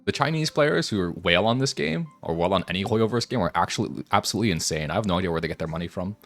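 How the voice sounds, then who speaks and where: A man's voice: sits low, lively intonation, racing, a bit bright, faint music behind. A man doing casual live gameplay commentary as a streamer, rambling and thinking aloud, close to the microphone. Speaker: game streamer.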